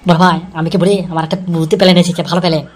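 A man's voice: a little high, steady tone, very fast, natural voice. A man playing a boastful, scheming, eager henchman in an amateur comedy cartoon, with a cocky rattling delivery.

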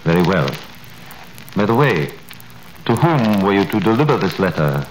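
An actor playing a guarded crown prosecutor who questions a prisoner in a measured, authoritative way, in a 1930s radio drama. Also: male voice, low-pitched, very animated. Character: cold, guarded crown prosecutor, grown man. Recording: roomy old radio recording with faint hiss.

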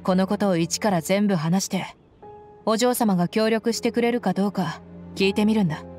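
An actress playing a loyal, frank young mercenary bodyguard in a game, speaking in a firm, plain-spoken, tomboyish way. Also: female voice, mid-range voice, normal speed, a little mellow, faint music behind, natural voice. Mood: resolute. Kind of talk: Japanese game voice acting.

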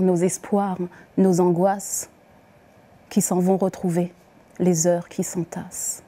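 A woman reciting poems as the poet herself, with deliberate, expressive phrasing and pauses. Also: mid-range voice. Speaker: poet reading her own poems. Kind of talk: poetry recitation.

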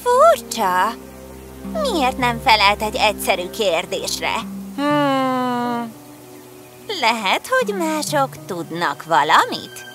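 A woman's voice: fairly high pitch, huge pitch swings. A woman voicing a curious, earnest young mushroom girl in a children's cartoon, eager and full of questions. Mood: puzzled, concerned. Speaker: cartoon character. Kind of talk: dubbed children's fairy tale cartoon. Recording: music under the voice.

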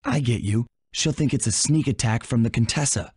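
An actor playing a suave, wry young raccoon thief in a video game, casual and confident. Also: male voice, medium pitch, a little fast, darkish tone, breathy edge, no echo, studio quality, natural voice.

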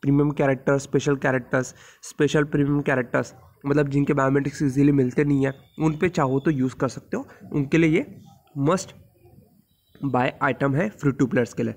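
A man with an Indian accent, hoarse from a sore throat, giving a casual, blunt voice-over guide to a mobile game. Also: mid-range voice, steady tone, fairly quick, a little mellow. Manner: informal, blunt, mixes English terms into speech. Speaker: mobile game channel host.

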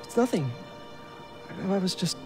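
A man's voice: high voice, animated, moderate pace, over music. An actor playing an earnest, worried young American man in a video game, speaking hesitantly and sincerely. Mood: flustered. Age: young adult. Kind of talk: naturalistic game cutscene acting.

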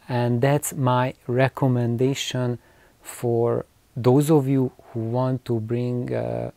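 A man in his forties explaining things to camera in an unscripted vlog, with halting phrasing and repeated words. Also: mid-range voice, gravelly, mellow, no echo. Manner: halting, hesitant phrasing with repetitions. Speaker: video host. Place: small cluttered room with shelves of records, indoors.

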